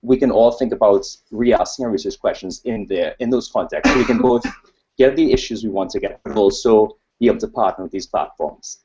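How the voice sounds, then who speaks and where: A low, husky male voice with a Trinidadian accent delivers an informal academic presentation. He wanders into asides and plays himself down. The sound is dull and lo-fi, like a webcast. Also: a little mellow. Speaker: academic researcher presenting at a conference. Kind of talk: informal conference presentation with slides.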